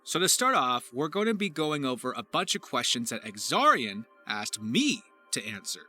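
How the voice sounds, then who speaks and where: A man who works as a voice actor, hosting an explainer video with deliberate, emphatic phrasing. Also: medium pitch, very animated, bright, forceful tone, faint music behind. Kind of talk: scripted-sounding explainer narration.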